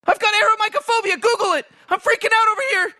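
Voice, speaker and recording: A man's voice: very high-pitched, steady tone, fast talker, bright, forceful tone, exaggerated voice. A man voicing a nervous, timid teenage boy sidekick who stammers and whines. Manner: stammering, anxious, whiny. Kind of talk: comedic commentary on game characters.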